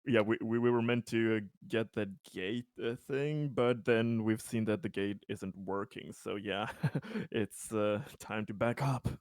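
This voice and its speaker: Dude playing a tabletop game, lowish voice, just chatting, dry sound. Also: male voice, fairly even intonation, unhurried, few pauses, darkish tone, no room sound. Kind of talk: casual chat.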